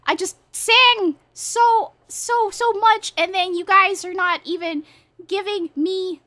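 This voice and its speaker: A woman's voice: fairly even intonation, takes it slowly, bright, forceful tone, no room sound, heavily acted. A woman voicing a crude, brash cartoon horse who rambles and demands applause during a streamed karaoke session. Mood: indignant. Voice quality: squeaky and shrill. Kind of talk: livestream karaoke banter.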